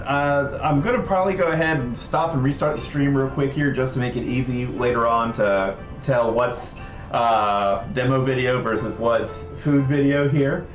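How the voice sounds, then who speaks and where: A man hosting a casual livestream, talking in a rambling, halting way. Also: medium pitch. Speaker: livestream host. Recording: roomy echo, distant mic, background music.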